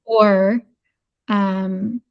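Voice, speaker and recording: A woman talks through her thoughts in an unhurried podcast chat. Her pitch sits in the middle and barely moves, which gives her a flat, even delivery. She pauses often, with many "um"s and restarts as she works out what she means. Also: gravelly, highs cut off. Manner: thoughtful, hesitant, many fillers. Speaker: podcast guest. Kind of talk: casual conversation.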